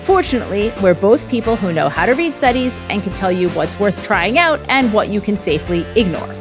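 Brisk woman economist, lively pitch. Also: music in the background. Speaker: economist and podcast co-host.

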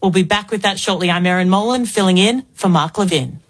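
A woman's voice: mid-range voice, brisk, husky. An Australian woman in her forties, filling in as a talk radio host with an emphatic, rhetorical political monologue. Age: 40s.

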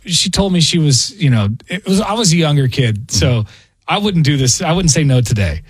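A man's voice: fairly high pitch, animated, normal speed, breathy edge, no room sound. A man hosting a radio show in a studio, reading listener emails aloud and joking with his co-hosts, laughing often. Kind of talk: casual morning radio banter. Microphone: studio microphone.

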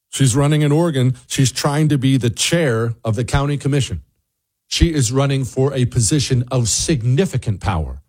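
A man's voice: mid-range voice, darkish tone, breathy edge, no room sound. An American man hosting a talk show, delivering an opinionated political monologue in an emphatic, blunt, sarcastic way with rhetorical questions. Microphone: close studio microphone.

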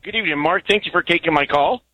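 A man phones in to a talk radio show and rambles earnestly through his point, with plenty of ums. His voice sits a little high for a man, and it sounds dry and close. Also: fast, pushed and bright, no echo. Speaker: caller.

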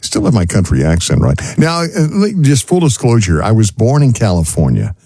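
A country radio host in his sixties with a West Texas accent, rambling and doubling back as he talks. His voice sits low and mellow with a husky edge. It swings up and down freely and sounds dry and close. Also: wildly swooping pitch, normal speed, dry recording. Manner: rambling, self-interrupting. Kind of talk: casual radio chat.

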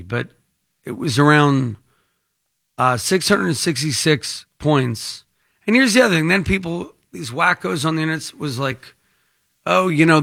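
A man's voice: on the high side, vocal fry, sharp tone. A man hosting a financial advice show, talking unscripted in a rambling, conversational way as if thinking aloud.

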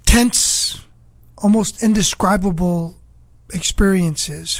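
A woman hosting a talk show, introducing and questioning a guest in a measured, gentle way. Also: on the low side, husky, no echo.

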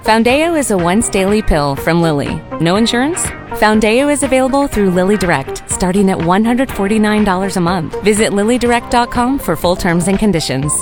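A woman reading a pharmaceutical ad calmly and reassuringly, at a mid-range pitch. Music plays clearly underneath. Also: brisk. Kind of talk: scripted pharmaceutical ad.

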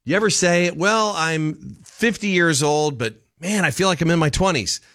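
An upbeat male radio host chats between songs and asks listeners to call in. His voice sits a little high, and the studio sound is close and dry. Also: moderate pace, a bit bright, dry recording. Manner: upbeat, chatty. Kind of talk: radio show hosting.

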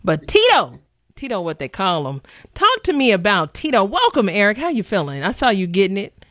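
A woman's voice: mid-range voice, wildly swooping pitch, fairly quick, a bit bright, dry recording, clean studio sound. A woman in her late thirties hosting a talk show, chatting playfully in colloquial speech. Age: 30s. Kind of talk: casual interview chat. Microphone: video call.

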